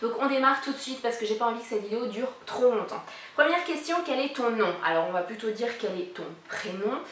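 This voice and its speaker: A woman's voice: a little high, expressive, a little fast, fairly bright tone, slight background noise. A woman in her thirties hosting a casual vlog, talking chattily with many fillers as she answers a tag's questions. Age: 30s. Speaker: YouTuber presenting a collab channel. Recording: echoey room, mic a bit far.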